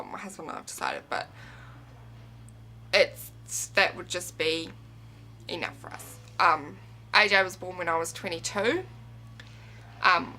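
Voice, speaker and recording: A woman in her twenties speaking reflectively and haltingly, confiding in a personal vlog monologue. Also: on the low side, pushed and bright, a little hiss or hum. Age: 20s. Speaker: video blogger.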